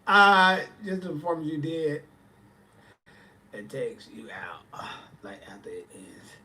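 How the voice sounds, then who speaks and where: A man's voice: a little high, very animated, a little slow, bright, forceful tone, low-quality recording. A man, chatting casually on a livestream and answering viewers' comments with sass. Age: 40s. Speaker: livestream host.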